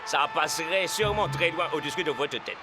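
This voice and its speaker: A man's voice: fairly high pitch, brisk, music in the background, close to an everyday voice. A man voicing a smug, arrogant cartoon rival football coach with a condescending, teasing delivery. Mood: smug, mocking.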